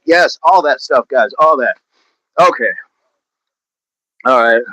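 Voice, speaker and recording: A man's voice: mid-range voice, expressive, slow, sharp tone, dry recording, muffled recording. Upbeat chatty man on a dry mic. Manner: chatty, upbeat, laughs often, repeats phrases. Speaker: fitness livestream host. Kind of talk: casual livestream chat answering viewer comments.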